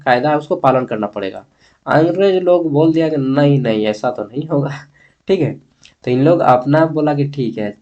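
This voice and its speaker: Male history tutor, fairly high voice, Bihar-Jharkhand accent; colloquial, repeats key points, poses questions and answers them. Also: a little mellow. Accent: eastern Indian Hindi, Bihar-Jharkhand. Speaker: history teacher. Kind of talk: informal exam-prep lesson, explaining a text.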